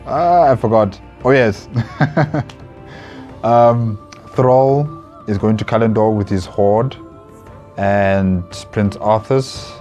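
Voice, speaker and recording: A man with a low-pitched voice hosts in a casual, unscripted way. His delivery is hesitant and rambling, with faint music in the mix. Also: darkish tone. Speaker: host of a reaction video. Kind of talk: casual off-the-cuff commentary.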